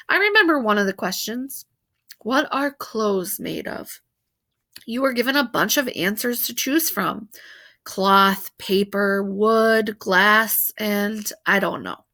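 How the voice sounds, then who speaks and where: Female storybook reader, higher-pitched voice, expressive and animated narration for young listeners. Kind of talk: expressive read-aloud narration of a children's novel.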